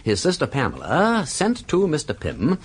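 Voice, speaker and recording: An actor playing a suave, breezy aristocratic gentleman sleuth in a radio drama, with an upper-class English accent and a jaunty, mock-formal, theatrical delivery. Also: fairly high pitch, wildly swooping pitch, moderate pace, breathy edge, heavily acted. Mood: breezy, dramatic. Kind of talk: BBC radio drama acting.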